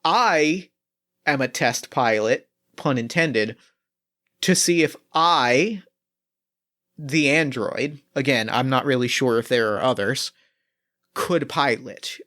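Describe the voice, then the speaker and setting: A man voicing a calm, coldly logical male android pilot who speaks in a measured, hesitant, matter-of-fact way. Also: mid-range voice. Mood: calm, detached.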